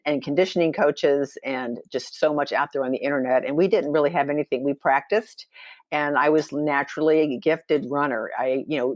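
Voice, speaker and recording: A woman's voice: rumbling low, dry recording. A woman in her sixties, a podcast guest, telling her story in a chatty, upbeat way with lots of fillers and restarts. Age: 60s. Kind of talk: casual storytelling interview.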